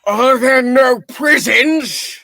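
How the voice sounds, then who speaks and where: A man's voice: extremely high pitch, very slow, vocal fry, sharp tone, heavily acted. A man playing a grumpy, miserly old boss in a radio-style play reading, irritable and scornful as he barks out his words. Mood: curt, sarcastic.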